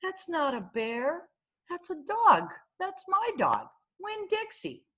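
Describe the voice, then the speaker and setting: Woman voicing an eager young girl, swooping pitch. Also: huge pitch swings, slow, sharp tone, full character voice. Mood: earnest, reassuring. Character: earnest, lonely young girl who loves her dog. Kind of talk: children's storybook read-aloud.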